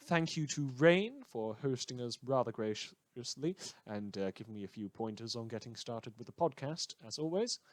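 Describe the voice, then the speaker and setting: A podcast host of middling pitch delivers informal credits for a fan production. The manner is hesitant and slightly rambling, with wry understatement. The recording is dry, with no room echo. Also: lively intonation, normal speed, hardly any pauses.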